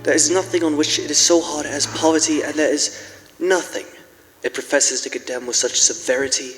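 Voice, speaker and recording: A hardened, defensive man in his prime played by an actor declaims formally in a live radio play. The voice is slightly high, in long hall reverb with some music behind it. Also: fairly even intonation, normal speed, natural voice. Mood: defensive, bitter. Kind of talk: live radio drama of a classic story.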